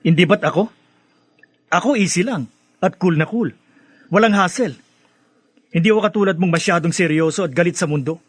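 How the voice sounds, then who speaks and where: Radio drama casting: self-assured, teasing big brother, Filipino accent, lighter-pitched, close dry sound. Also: male voice, brisk, long pauses, slightly rough, no echo. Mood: mocking, defensive.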